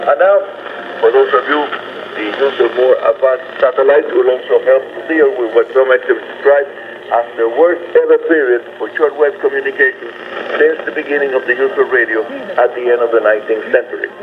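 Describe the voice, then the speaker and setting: A man's voice: medium pitch, a little fast, narrow, tinny audio. A man hosting a radio program, presenting to listeners, heard through noisy shortwave reception. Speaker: radio host. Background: radio static and noise.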